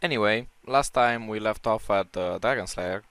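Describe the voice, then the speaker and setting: A man with a hoarse, strained voice giving casual, unscripted commentary as he ranks books, rambling and opinionated with many restarts. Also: fairly low pitch, moderate pace, fairly bright tone, no echo. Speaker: book-ranking video creator.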